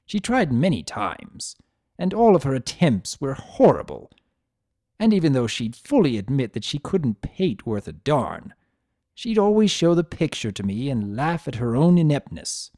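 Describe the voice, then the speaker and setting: A man voicing a grumpy, possessive, secretly tender chaos creature who narrates his own story in a sardonic, expressive dramatic reading. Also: medium pitch, unhurried, dry recording, clean studio sound. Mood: wry, reflective. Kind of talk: first-person dramatic reading of fan fiction.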